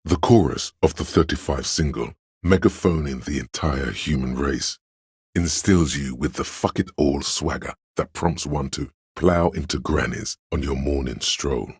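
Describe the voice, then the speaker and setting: Very deep, husky encyclopedic inner voice. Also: male voice, no echo, exaggerated voice. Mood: measured, knowing. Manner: measured, dry, scholarly delivery of facts. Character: erudite, worldly inner voice of encyclopedic knowledge, male. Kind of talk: scripted game narration.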